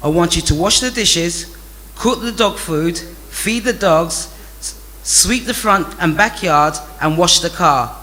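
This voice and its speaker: A stern Jamaican father , acted out by a male storyteller, scolds in indignant Patois. The voice is raised high and sharp, hammering each point home in storybook fashion. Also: unhurried. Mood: ordering.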